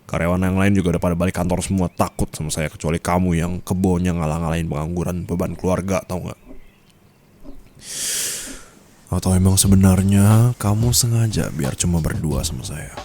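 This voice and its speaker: Deep-voiced teasing boss played by a man. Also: warm tone. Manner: commanding, teasing, drawn-out questioning tags. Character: strict, teasing male office boss, possessive and flirty. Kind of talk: intimate ASMR roleplay monologue to listener.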